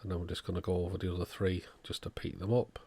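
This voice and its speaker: A man's voice: deep voice, little pitch movement, quick pace, a little mellow, breathy edge. A man narrating a hands-on repair demonstration in a methodical, step-by-step way, as the host of an electronics channel.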